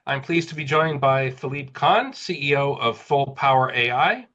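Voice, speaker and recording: A man hosting an interview, upbeat and a bit hesitant, often filling pauses with uh and you know. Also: mid-range voice, pushed and bright. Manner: upbeat, hesitant, many fillers. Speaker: interviewer, host. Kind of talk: interview questions. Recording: dull lo-fi audio.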